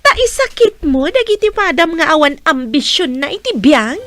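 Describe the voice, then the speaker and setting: An actress with a northern Philippine accent playing a haughty, sharp-tongued, ambitious young woman in a radio drama, with a scornful, cutting manner. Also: sits high, sing-song, normal speed.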